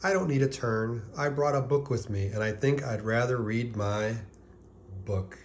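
A man voicing a kind, gentle young girl dragon from a children's storybook in an agreeable manner. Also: medium pitch, unhurried, slight background noise, natural voice. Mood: gently reassuring. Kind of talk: children's storybook character reading.

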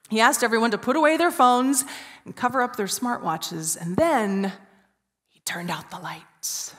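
A woman in her forties preaching an Easter sermon from a church stage, telling a story with hushed, drawn-out pauses. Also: on the high side. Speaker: pastor. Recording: reverberant church hall.

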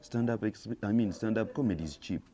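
A Ugandan man in his forties speaks in a low, mellow voice, outdoors into a reporter's handheld mic. He lectures emphatically and pushes his points hard, rising into heated bursts. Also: brisk. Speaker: theatre actor interviewed. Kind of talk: street interview, mixing Luganda and English. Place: outdoors by an orange door.

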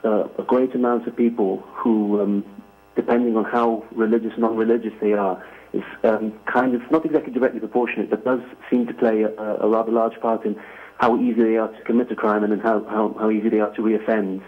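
A man's voice: on the low side, level tone, fairly quick. A man from Greece calling in to a talk show, asking a long question with many fillers and restarts. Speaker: caller. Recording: tinny phone line.

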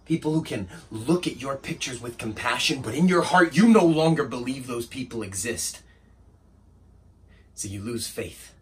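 A man's voice: mid-range voice, expressive, moderate pace, few pauses, slight background noise, voice pushed down, close to an everyday voice. A man performing a stage monologue as an angry, wounded young painter's assistant, bitterly sarcastic and repeating phrases for emphasis. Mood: bitter, subdued. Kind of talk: dramatic stage monologue acting.

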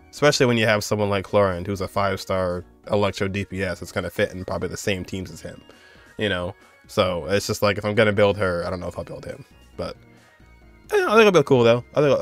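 A man's voice: fairly low pitch, a bit bright. A young man giving casual, rambling reaction commentary as the host of a gaming video. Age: young adult. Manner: rambling, conversational. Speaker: host reacting to a game trailer.

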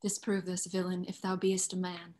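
A woman's voice: fairly low pitch, completely flat delivery, moderate pace, darkish tone, lightly acted. A woman reading a grief-struck, furious woman servant in a play reading over a video call, accusing and crying out. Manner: accusing, cries out.

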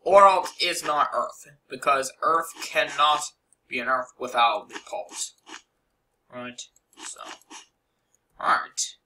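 A man's voice: mid-range voice, slow pace, pauses often, sharp tone, slight background noise, rough recording. A young man casually narrating a game-building tutorial over a screen recording, rambling with many fillers and asides.

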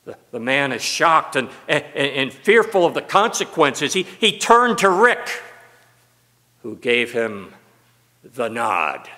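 Slow, bright-voiced older preacher in a church. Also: male voice, mid-range voice. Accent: American. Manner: slow, expressive preaching with broad hand gestures. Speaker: pastor. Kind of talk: sermon. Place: church sanctuary, at a pulpit. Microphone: pulpit mic. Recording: long church reverb.

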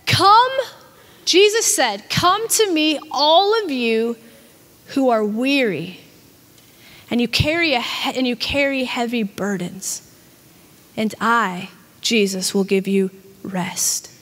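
A high-voiced woman in her thirties preaching a sermon into a handheld mic. She talks with animated emphasis and often stumbles and repeats words as she builds a point. Her voice carries a long reverb from the church hall around her. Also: slow pace, pauses often. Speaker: pastor. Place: church stage with a small audience.

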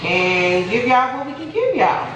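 A young American woman talks casually to a vlog camera with a colloquial, playfully chiding manner. Her medium-pitched voice sounds a little off the mic, in a very echoey kitchen with clear background noise. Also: big pitch swings, a little slow, fairly bright tone, recorded from a distance. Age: young adult. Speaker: vlog co-host and home cook. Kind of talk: casual vlog chat with bantering partner. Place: home kitchen, indoors. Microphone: camera or phone a few feet away.

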